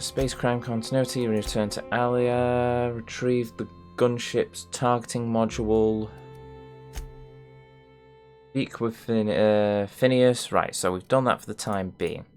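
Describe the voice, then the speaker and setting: A man giving casual let's play commentary, reading game text aloud and muttering playfully to himself. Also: on the low side.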